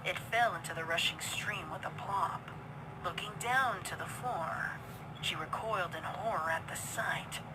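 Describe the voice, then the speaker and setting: A woman's voice: lowish voice, unhurried, noisy background. A woman reading scripted horror fiction as a dramatic narrator.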